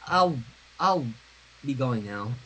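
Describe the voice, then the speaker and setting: A man plays a shy teenage girl in glasses, lovestruck and awkward, from a fan story read aloud. The voice is husky and halting, with a self-deprecating way of talking. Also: mid-range voice, animated, sharp tone, voice pushed down, heavily acted, rough recording. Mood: hurt, resigned.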